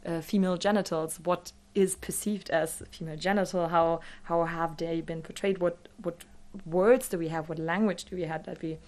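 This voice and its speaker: A woman's voice: lowish voice, steady tone, normal speed, a little mellow. A woman who is a native German speaker, explaining things as an interview guest in a thoughtful, academic way.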